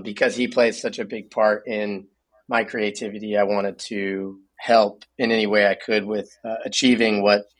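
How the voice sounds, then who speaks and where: A man's voice: lowish voice, fairly bright tone, poor audio. A man, a musician guest, talking casually in an interview, often repeating words as he gathers his thoughts.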